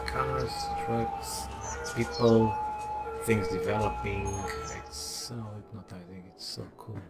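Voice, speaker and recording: A young man with a Portuguese accent talks through a city-building game, restarting his sentences and muttering to himself as he goes. His voice sits low and carries a heavy gravelly fry. Loud music plays under him the whole time. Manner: rambling, thinks aloud with restarts and mutters. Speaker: gameplay video creator. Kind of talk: casual gameplay commentary. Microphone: desk microphone.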